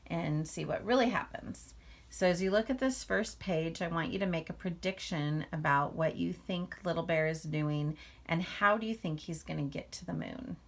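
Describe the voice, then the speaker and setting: Creaky-voiced woman teaching. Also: deep voice. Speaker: teacher reading aloud. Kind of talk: children's read-aloud lesson.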